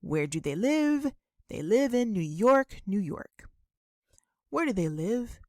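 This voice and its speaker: Female language teacher, extremely unhurried delivery, dry close sound, careful enunciation of simple practice sentences for learners. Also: medium pitch, sing-song, no room sound. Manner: deliberate, instructional, enunciating and repeating phrases. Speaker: English teacher. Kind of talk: beginner English lesson with repeated model questions and answers.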